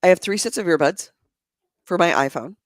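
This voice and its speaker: A woman hosting a podcast in a casual chat, her voice hoarse and gravelly, talking in a chatty, animated way and laughing often. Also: on the low side, fairly quick, dry recording, studio quality. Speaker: podcast host. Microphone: adjustable microphone close to the mouth.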